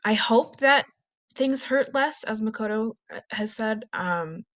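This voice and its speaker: A woman panelist reflecting candidly and earnestly, searching haltingly for her words. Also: a little high, lively intonation, fairly bright tone. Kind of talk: candid personal reflection in discussion.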